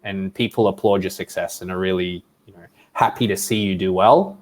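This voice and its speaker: A man in his thirties with a softened Australian accent, answering interview questions on a video call in a friendly, rambling way with lots of ums. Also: sits low, fairly even intonation, brisk. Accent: Australian, softened by years in the US. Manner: friendly and talkative, frequent ums and restarts. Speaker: guest.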